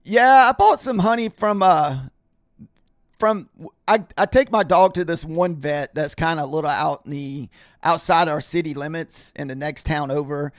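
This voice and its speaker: A man hosting a trading live stream, chatting loosely with viewers and clearing his throat, his voice a little scratchy from talking all week. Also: on the high side, normal speed, dry recording, studio quality. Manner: rambling, chatty, talks to viewers in chat. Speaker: trading livestream host. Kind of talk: casual live-stream chat.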